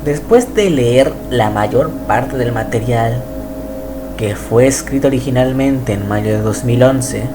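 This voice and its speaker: A man reading a scripted horror narration in a measured, even way. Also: mid-range voice, background noise. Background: music.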